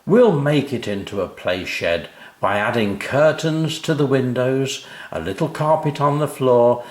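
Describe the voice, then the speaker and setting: A man's voice: mid-range voice, a little slow, echoey room, voice pushed up, close to an everyday voice. A man voicing a harassed, easily flustered father in a children's story. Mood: eager.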